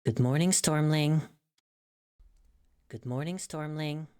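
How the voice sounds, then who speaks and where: A woman performing a cheerful streamer persona with a deliberate, sing-song greeting delivery for fans. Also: low-pitched, dark tone, clean tone, voice pushed up. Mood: bright greeting.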